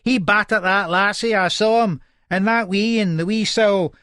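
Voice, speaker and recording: A man's voice: sits high, normal speed, pushed and bright, smooth, no echo, clean studio sound, voice pushed up. A man voicing an indignant, accusing old Scottish woman, a neighbour in a story.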